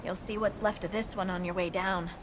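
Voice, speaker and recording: A woman's voice: mid-range voice, fairly even intonation, a little fast, noisy background, lightly acted. An actress playing a wry, informal dwarf scout woman in a fantasy video game, with an offhand manner. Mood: wry, casual. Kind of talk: video game cutscene acting.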